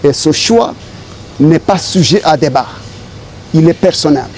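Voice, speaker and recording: A middle-aged man from Congo answering interview questions in a deliberate, formal, rhetorical way. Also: on the high side, animated, a little mellow, slight background noise. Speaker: interview guest.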